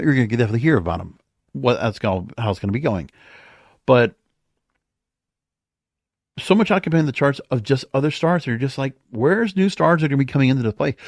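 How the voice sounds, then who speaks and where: Male podcast host, solo commentary. Higher-pitched, very animated delivery, emphatic and conversational, recorded dry. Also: no room sound.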